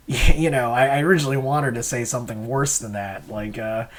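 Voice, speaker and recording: A man's voice: mid-range voice, steady tone, fairly quick. A man giving casual, rambling creator commentary in a hesitant, self-questioning way. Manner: hesitant, self-questioning, chuckles while explaining. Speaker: comic creator and host.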